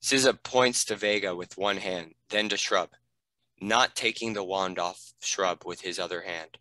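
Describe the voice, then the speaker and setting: Low, bright-voiced male narrator. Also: measured pace, no echo, rough recording. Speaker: narrator reading stage directions. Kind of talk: scripted narration.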